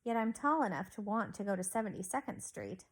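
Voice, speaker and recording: A woman's voice: medium pitch, sing-song, fairly quick, breathy edge, rough recording. A woman voicing a tiny proud male mouse in a children's storybook, precise and tart in manner. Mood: matter-of-fact. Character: tiny proud male mouse in sailor suit, easily offended.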